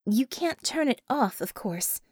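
A woman's voice: fairly high pitch, lively intonation, a little slow, a little mellow, slightly rough, no room sound. Woman narrator as a young female mage, businesslike, matter-of-fact, audiobook character, very dry close sound. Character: young female magician-technician, small, brisk, matter-of-fact.

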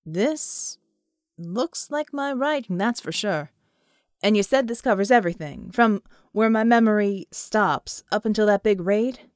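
A woman voicing a gruff, guarded, hard-drinking man in an audiobook, speaking tersely and deliberately. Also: high voice, expressive, no echo, studio quality. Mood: puzzled.

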